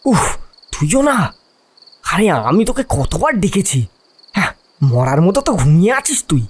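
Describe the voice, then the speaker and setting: Man voicing an animated, edgy young night watchman. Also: high voice, unhurried, breathy edge, pitched above the performer's own voice, heavily acted. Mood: exasperated. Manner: whispery urgent muttering, exasperated with friends. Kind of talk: dramatized horror audio story.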